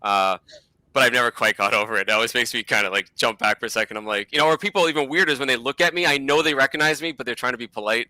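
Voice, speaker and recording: A man's voice: mid-range voice, rapid-fire, sharp tone, dry recording, rough recording. A middle-aged man with a Boston accent, a guest chatting casually in an interview, slightly mumbly and rambling. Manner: mumbly, self-deprecating, rambling. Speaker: guest, comic collector YouTuber.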